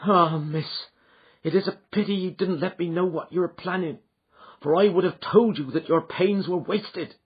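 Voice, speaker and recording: A man reading an audiobook voices a blunt, plainspoken housekeeper woman, with a husky tone. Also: sits high, moderate pace, lo-fi sound, voice pushed up. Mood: earnest. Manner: blunt, earnest.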